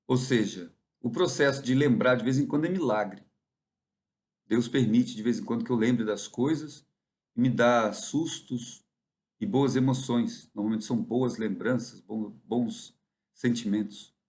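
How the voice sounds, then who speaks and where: A man from the interior of São Paulo, Brazil, talking in a rambling, reflective monologue, musing and often pausing mid-thought. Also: mid-range voice, darkish tone.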